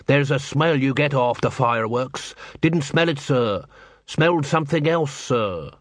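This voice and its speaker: A man's voice: medium pitch, lively intonation, measured pace, dry recording, clean studio sound. A man voicing a small, humble male lance constable who is plain-spoken and deferential, in an audiobook.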